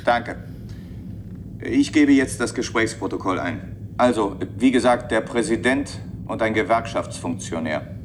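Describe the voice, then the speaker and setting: A man's voice: lowish voice, animated, measured pace, background noise. An actor playing a formal, methodical chairman in a German radio play, explaining things in an official, slightly hesitant way. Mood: businesslike. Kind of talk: German radio play acting, addressing listeners.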